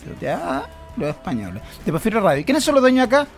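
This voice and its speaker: High-voiced Chilean man ranting. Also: huge pitch swings, fast, creaky, faint music behind. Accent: Chilean Spanish. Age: 30s. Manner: ranting, mock-indignant. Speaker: comedy podcast co-host. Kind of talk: comedic banter.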